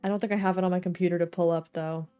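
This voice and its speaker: A woman's voice: lowish voice, fairly even intonation, racing, warm tone, clean tone, highs cut off. Jokey woman streaming a video game. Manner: jokey, slangy, self-deprecating. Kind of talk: casual reacting commentary over a game. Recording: game music playing behind voice.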